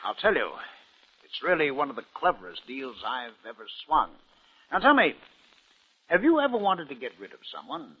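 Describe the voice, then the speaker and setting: Boastful schemer, swooping husky voice. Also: male voice, a little high, very animated, moderate pace. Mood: smug, chatty. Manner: boastful, self-satisfied, theatrical. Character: boastful, scheming male businessman, smug killer. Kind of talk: 1950s radio drama acting. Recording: muffled vintage radio broadcast.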